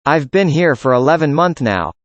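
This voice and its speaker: A man reading a student's part in a scripted classroom conversation, with an even, measured delivery. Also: a little high, no echo. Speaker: student in a dialogue.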